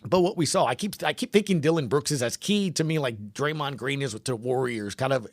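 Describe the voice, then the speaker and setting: A man's voice: mid-range voice, fairly quick, no echo. A man hosting a sports podcast, giving casual commentary in emphatic, punchy conversational phrases.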